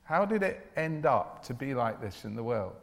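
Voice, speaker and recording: A man's voice: medium pitch, big pitch swings, moderate pace, creaky. Male preacher in a reverberant hall. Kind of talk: evangelical church sermon with Bible reading and prayer. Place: large church or hall, speaking to a congregation. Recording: reverberant hall, long echo.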